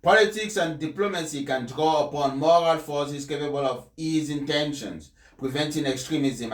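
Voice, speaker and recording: Man, medium pitch, emphatic, deliberate phrasing, oratorical commentary, reverberant room. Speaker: speech reader and commentator. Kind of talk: formal read-aloud speech, then impassioned personal commentary. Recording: echoey, reverberant room sound.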